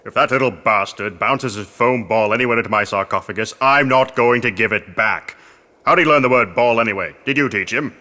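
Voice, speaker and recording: A man's voice: medium pitch, fairly quick, bright, forceful tone, lightly acted. A man acting a pompous, lazy, grumbling archaeologist with a fussy manner in a comic audio drama. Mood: exasperated. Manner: fussy, self-important.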